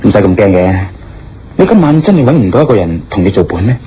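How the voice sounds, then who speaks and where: An actor playing a concerned, tactful gentleman who speaks with careful hesitation in a radio drama. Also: lowish voice, big pitch swings, quick pace, a little hiss or hum. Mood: reassuring. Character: concerned, tactful gentleman probing and reassuring a stranger. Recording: dull lo-fi radio drama, music behind.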